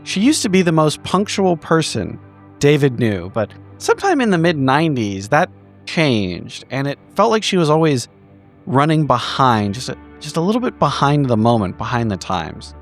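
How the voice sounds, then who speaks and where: A man narrating his character in a tabletop roleplay, with measured, deliberate phrasing. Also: fairly high pitch, big pitch swings, soft background music. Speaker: player.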